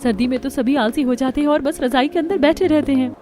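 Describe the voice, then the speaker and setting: A woman's voice: high-pitched, fast, warm tone, a little hiss or hum, natural voice. A woman voicing a sneaky young daughter-in-law in a family cartoon, chatty and conspiratorial. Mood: secretive. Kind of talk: Hindi family cartoon dialogue.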